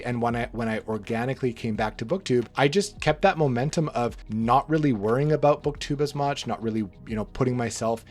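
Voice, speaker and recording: A slightly hoarse man talks through his thoughts at an easy, rambling clip, circling back and restarting phrases as he goes. His pitch sits in an ordinary middle range. Soft music plays faintly under his voice. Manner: relaxed, rambling, frequent restarts and fillers. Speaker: book channel host. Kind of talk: rambling casual monologue to camera.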